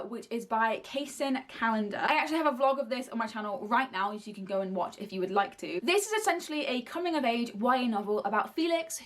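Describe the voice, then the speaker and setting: A woman's voice: fairly high pitch, a bit bright. A young woman in her early twenties reviewing books in a casual, gushing way and swearing freely. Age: early 20s. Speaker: book reviewer. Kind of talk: casual book review to camera.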